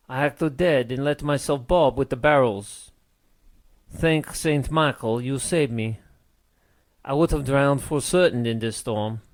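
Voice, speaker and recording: A man's voice: mid-range voice, dry recording, close to an everyday voice. A man voicing a grateful, deferential French sailor in an audiobook, with a French accent and polite, pleading manner. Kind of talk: audiobook character voice.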